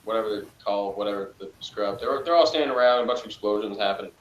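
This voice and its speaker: A man chatting casually, critical and sarcastic with quick asides. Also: lowish voice, echoey room, highs cut off, slight background noise. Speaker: podcast co-host reviewing a TV episode. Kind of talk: casual chat.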